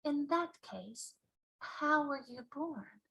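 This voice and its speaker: A woman voicing a polite, timid, questioning young girl in a children's storybook, speaking softly and hesitantly. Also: sits high, expressive, slow pace, creaky, muffled recording, voice pushed up, exaggerated voice. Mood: curious. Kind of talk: children's storybook read-aloud.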